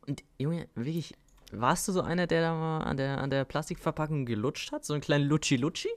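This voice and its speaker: A man's voice: a little high, a little fast. A young man co-hosting a podcast, telling joking stories in heavy youth slang on a home mic. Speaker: podcast co-host. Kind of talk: casual chat with youth slang.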